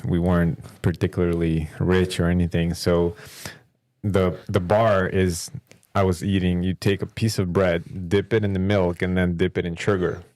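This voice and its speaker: A man's voice: low-pitched, flat delivery, normal speed, darkish tone. A man from Ukraine, a guest in a casual chat, who laughs often and talks with some hesitation.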